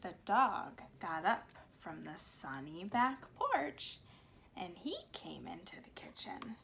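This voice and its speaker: A woman reading to young children in an expressive, deliberate way with animated phrasing and dramatic pauses. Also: fairly low pitch, vocal fry, slight background noise. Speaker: speech pathologist and children's storyteller. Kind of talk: expressive read-aloud storytelling for young children.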